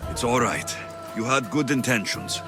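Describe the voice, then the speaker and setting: An actor playing a gruff, protective mercenary man who talks in blunt, clipped lines, heard in a game cutscene over loud music. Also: mid-range voice, normal speed, lightly acted. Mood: reassuring. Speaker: game protagonist.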